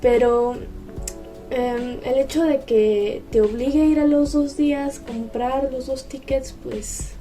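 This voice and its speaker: Female vlogger, mellow tone, casual explanatory chat, distant mic. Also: sits high, steady tone, slow. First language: Spanish. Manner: conversational, explanatory. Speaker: vlogger, host. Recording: distant mic with music behind.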